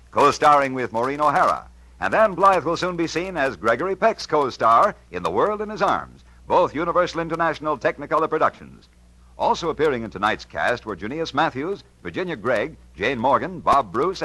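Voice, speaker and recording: A man's voice: a little high, pushed and bright, dry recording, low-quality recording. An American man announcing on 1950s radio in a polished, emphatic, scripted promotional style.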